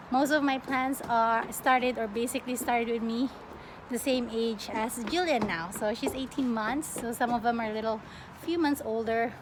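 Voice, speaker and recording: A young woman from the Philippines chatting casually as a plant vlogger, expressive and often breaking off mid-sentence. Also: fairly high pitch. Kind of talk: casual get-to-know-me question-and-answer chat. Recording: clearly noisy home recording.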